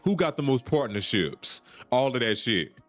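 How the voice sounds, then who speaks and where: A man's voice: medium pitch, sing-song, moderate pace, dry recording, faint music behind. A man hosts a solo podcast, talking in an urgent, preachy way that repeats points and swears casually. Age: 30s. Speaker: podcast host.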